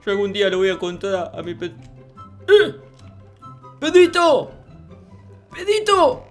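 Excitable childlike noob performed by a player, music behind. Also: mid-range voice, a little slow. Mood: excited, alarmed. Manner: stammering, repetitive, exclamatory. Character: naive, excitable childlike noob boy in Minecraft. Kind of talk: improvised gaming roleplay skit.

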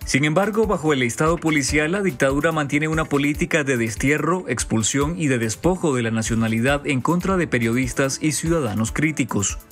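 A man reading a scripted news voice-over in a steady, formal delivery. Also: fairly high pitch, a little fast, hardly any pauses, faint music behind. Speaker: news narrator.

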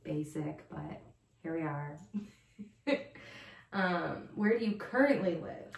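A woman's voice: low voice, lively intonation, slow pace, creaky. A young woman from New Jersey chatting in a giggly, upbeat way in a bedroom, filmed by a camera across the room. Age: young adult. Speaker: plant vlogger. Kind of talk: casual chat. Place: bedroom, seated on bed. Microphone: far camera. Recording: roomy echo.

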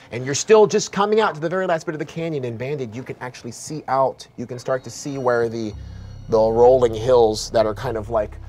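A man running a tabletop game, narrating the action in a halting, descriptive way. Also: mid-range voice, slight background noise. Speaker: game master. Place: studio set at a table.

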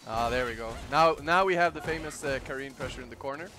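Man, from norway, fighting game commentary, excitable, shouts on big hits, music bed behind. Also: medium pitch, fast.

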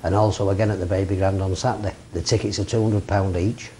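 A man's voice: low voice, little pitch movement, fast, dark tone, a little hiss or hum. A middle-aged man, a boxing promoter talking plainly in an office interview. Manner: plain-spoken, rambling lists. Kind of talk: seated interview. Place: office with framed memorabilia. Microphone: lapel.

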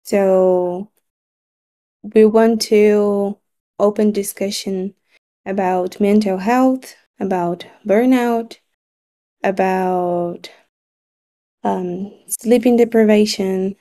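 A Brazilian-accented woman, Portuguese by birth tongue, facilitates a meeting over a video call. Her voice is mellow and soft-edged, and she speaks slowly and deliberately. She pauses often as she lays out the points. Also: medium pitch, fairly even intonation. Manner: measured, slightly halting with frequent pauses. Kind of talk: online meeting recap.

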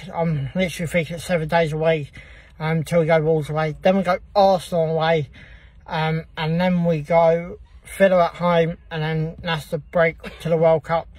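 A young man rambles excitedly into a phone held at arm's length while sitting in a parked car. His voice sits high and a little husky, and the sound is close and dry. He talks as a football fan vlogger, full of ums and restarts. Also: no room sound, far from the mic. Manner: gushing, rambling, many "um"s. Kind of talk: excited casual vlog chat.

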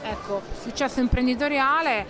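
A woman's voice: high voice, normal speed. A woman presenting and asking questions in a trade fair interview, on a handheld microphone. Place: trade fair stand indoors. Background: background music.

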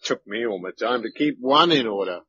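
A blunt, cheeky Englishman getting by after disaster in a radio play. He has a mid-pitched, very animated voice, heard on a slightly muffled recording. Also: brisk, husky. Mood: chatty, wry. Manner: blunt, chatty, colloquial. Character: blunt, cheeky, streetwise English man, a survivor. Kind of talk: radio drama acting.

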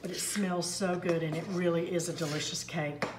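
A woman's voice: on the low side, fairly even intonation, moderate pace. An older woman hosting a home cooking segment, talking viewers through a cake recipe step by step in a homey, instructive way, recorded in her kitchen. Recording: echoey kitchen with faint noise. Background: clinking pots, bowls and utensils.

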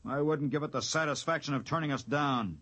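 Husky, bitter, fatalistic spaceship engineer. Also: male voice, a little high, brisk, fairly bright tone. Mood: fevered, bitter. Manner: sardonic, ranting, theatrical. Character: bitter, fatalistic male spaceship engineer, mocking and half-mad. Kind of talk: 1950s radio drama acting. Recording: old 1950s radio recording, slightly muddy.

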